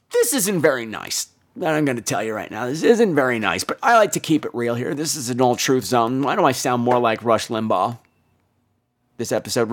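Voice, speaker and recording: An American man hosting a podcast, telling comic personal stories in a campy, theatrical and bluntly profane way. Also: mid-range voice. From United States. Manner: theatrical, campy, exaggerated delivery. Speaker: podcast host. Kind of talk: comedic personal storytelling monologue.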